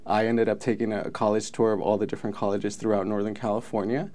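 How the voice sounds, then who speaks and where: A man's voice: lowish voice, little pitch movement, fast, darkish tone. A man in his thirties from Mexico, a native Spanish speaker, answering interview questions in an earnest, reflective way. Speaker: film commission president. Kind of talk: interview guest.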